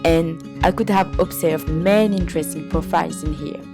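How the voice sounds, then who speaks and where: French lady hosting a chat, lowish voice, laughs a lot, music going behind. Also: creaky. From France. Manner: slow and giggly, laughs often. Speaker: host. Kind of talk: casual interview.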